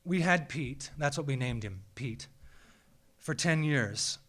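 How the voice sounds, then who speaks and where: An American man reads a scripted personal story live on stage, with a measured, theatrical delivery and deliberate dramatic pauses. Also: medium pitch, lively intonation, a bit bright. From small town in Minnesota, United States. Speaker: writer reading a personal essay. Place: small theatre, live event.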